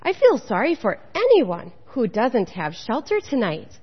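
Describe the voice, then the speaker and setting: A woman's voice: fairly high pitch, wildly swooping pitch, a little slow, breathy edge, full character voice. Woman reading as a caring donkey, reverberant hall. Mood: sympathetic. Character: compassionate, plain-spoken stable donkey in a Christmas fable. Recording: dull, echoey hall recording.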